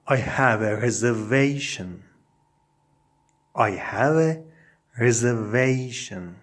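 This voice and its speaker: Male language teacher for phrase drills, extremely slow delivery, lively intonation, deliberate and separated phrasing. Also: medium pitch. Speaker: English teacher. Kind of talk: English lesson, reading short phrases aloud with repetition.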